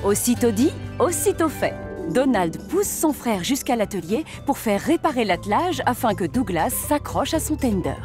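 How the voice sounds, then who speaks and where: Lively high-pitched woman storyteller. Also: normal speed. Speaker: narrator. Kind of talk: scripted children's story narration. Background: music behind the voice.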